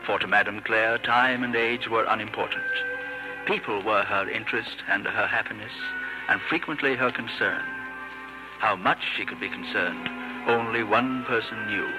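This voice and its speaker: A man reading scripted 1950s radio drama narration in a measured storytelling manner. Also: on the low side, rough recording. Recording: vintage 1950s radio recording. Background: music.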